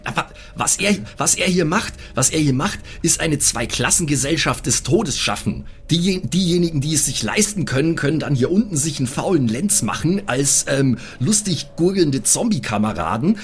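A man playing a righteous, scolding adventurer in an improvised tabletop audio play, speaking in a pompous, formal, moralizing way with a husky voice. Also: a little high, lively intonation, moderate pace, hardly any pauses. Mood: indignant.

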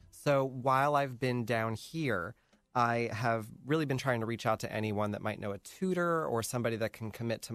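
A man's voice: fairly low pitch, dry recording. Chatty man, dry close sound. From North Jersey. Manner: chatty, laughs often, many fillers. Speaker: podcast host. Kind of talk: casual chat.

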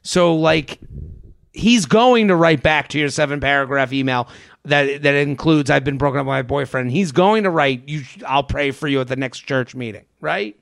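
A man with a crackly, fry-edged voice hosts a casual comedy advice podcast. His pitch sits a little above average and he riffs loosely, repeating himself for emphasis. The sound is dry and close. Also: normal speed, a bit bright, no echo. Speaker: podcast host. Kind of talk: casual comedic advice chat, sometimes reading listener emails.

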